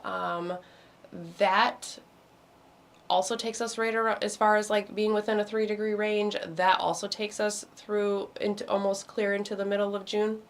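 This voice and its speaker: A woman talks through the week's astrology in a loose, wandering way, pausing on ums and circling back to restart her sentences. Her voice is a little hoarse, as if it is starting to give out. She sounds like she is speaking into a computer on a video call. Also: medium pitch, level tone. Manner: meandering, many ums and restarts. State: losing her voice. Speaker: meditation host. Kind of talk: casual astrology talk.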